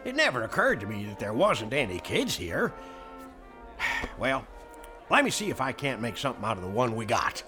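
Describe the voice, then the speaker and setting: An actor playing a hearty, gruff but tender frontier prospector in an American Western radio drama, with a folksy way of talking. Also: male voice, medium pitch, huge pitch swings, normal speed, long pauses, sharp tone, faint music behind. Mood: deflated, resigned.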